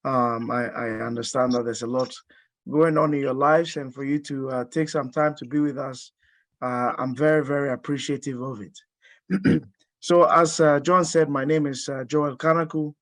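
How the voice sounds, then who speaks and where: A man's voice: medium pitch, steady tone, normal speed, fairly bright tone, no room sound. Ghana-born guy in his 30s, coach giving an online talk, lots of ums and restarts, dry close sound. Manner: hesitant, many fillers and restarts. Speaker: strength and conditioning coach. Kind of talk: webinar presentation.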